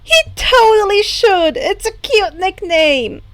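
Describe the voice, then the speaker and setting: A woman voicing a bubbly, excitable teenage girl who gushes and squeals in an audiobook-style story. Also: very high voice, slow, dry recording, voice pushed up, exaggerated voice. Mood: squealing delight. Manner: squealing, giggly, gushing.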